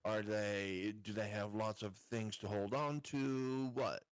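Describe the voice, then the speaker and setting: A man playing a tabletop game, chatting casually and putting his words together in a deliberate, stop-and-start way. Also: fairly low pitch, fairly even intonation, clean tone, dry recording.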